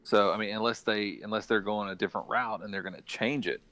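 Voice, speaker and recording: A man's voice: lowish voice, steady tone, fast talker, a bit bright. A man in a casual podcast discussion about video games, talking in an explanatory manner. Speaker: podcast panelist.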